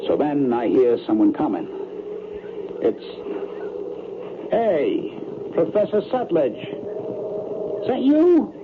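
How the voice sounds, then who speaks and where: An actor playing a brash, uneasy American builder in an old-time radio drama, plain-spoken, colloquial and insistent. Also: male voice, a little high, wildly swooping pitch, takes it slowly, pauses often, heavily acted. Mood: casual, chatty. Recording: noisy, tinny old radio recording.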